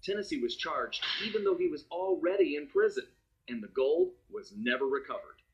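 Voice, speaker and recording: An actor playing a cool, wry gang-leader thief, telling his adventures as dramatic narration in an animated game cutscene. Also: fairly high pitch, normal speed, breathy edge, dull audio. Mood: wry, intrigued. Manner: dramatic, conspiratorial storytelling.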